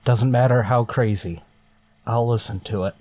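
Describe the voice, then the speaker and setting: Starship captain, male actor: weary authority, dry clipped orders, medium pitch, radio sci-fi drama. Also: steady tone, normal speed, a little mellow, no room sound, lightly acted. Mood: weary, pondering.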